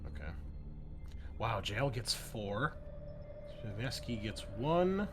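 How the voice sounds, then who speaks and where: A man's voice: medium pitch, very animated, slow, noisy background. A man running an online tabletop game as game master, talking casually and thinking aloud as he goes.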